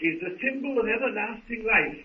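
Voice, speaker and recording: An earnest scholar-explorer in a vintage radio adventure. He is high-pitched for a man, eager and emphatic. The recording is roomy and narrow, like an old phone line. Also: lively intonation, brisk, recorded from a distance, over a noisy crowd. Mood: intrigued. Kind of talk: 1930s radio serial acting. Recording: tinny, noisy, echoing 1930s radio recording.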